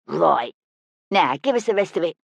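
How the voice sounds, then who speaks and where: A woman voicing a menacing young male street bully in an audiobook, mocking and rough-spoken. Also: lowish voice, very animated, moderate pace, no echo, exaggerated voice. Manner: taunting, rough street talk.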